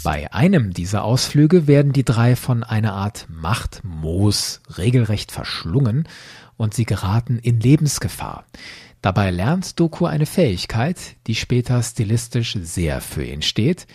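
A man's voice: lowish voice, warm tone, husky, no echo, clean studio sound. A man hosting a podcast, giving structured, explanatory commentary in a prepared narration style. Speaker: podcast host.

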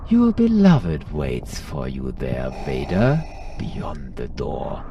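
An actor playing an ancient, sinister Sith Lord spirit in an audio drama, tempting and theatrical, with a breathy, whispery voice. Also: rumbling low, huge pitch swings, distant mic, dull audio, background noise, full character voice. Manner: slow, drawn-out, theatrical. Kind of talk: audio drama villain acting.

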